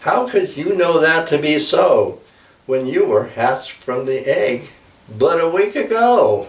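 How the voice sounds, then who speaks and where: A man's voice: medium pitch, animated, unhurried, darkish tone, pitched above the performer's own voice. A man voicing a worried mother eagle who complains about the cold in a raised, plaintive voice. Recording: echoey room, far from mic.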